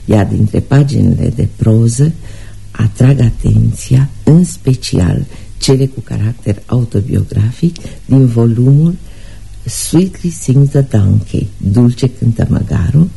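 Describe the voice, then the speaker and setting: A man presents a literary programme with formal, measured delivery. His voice is very dark and mellow, gravelly and husky, like a scholar reading from a script. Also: medium pitch, expressive, rough recording. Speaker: presenter introducing a radio play.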